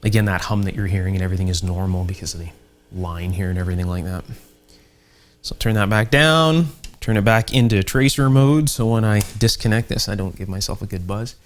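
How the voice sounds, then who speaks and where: A man with a fairly low voice hosts a hands-on repair lesson. He explains things methodically and without hurry, slipping in conversational asides as he works at the bench. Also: a little fast. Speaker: host, electronics repair instructor. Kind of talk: hands-on workbench demonstration, explanatory. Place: indoor electronics workshop.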